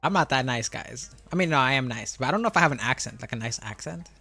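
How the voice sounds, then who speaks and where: A man's voice: mid-range voice, lively intonation, fast talker, gravelly, sharp tone, breathy edge. Laid-back young man streaming over music. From Florida. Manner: laid-back and rambling, reads out chat questions, frequent laughs and self-corrections. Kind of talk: casual live-stream chat with viewers.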